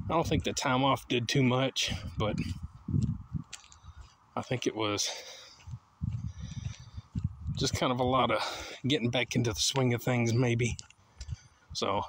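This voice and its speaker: A man's voice: medium pitch, dry recording. A middle-aged man talking casually into a phone held at arm's length while walking outdoors down a suburban street, rambling along easygoing with lots of fillers. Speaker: vlogger. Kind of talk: casual walking vlog monologue.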